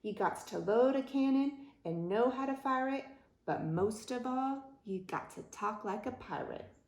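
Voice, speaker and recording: A woman's voice: on the high side, expressive, measured pace, darkish tone, echoey room, voice pushed up. A woman voicing a blustering, demanding male pirate captain in rolling pirate dialect, for a storybook.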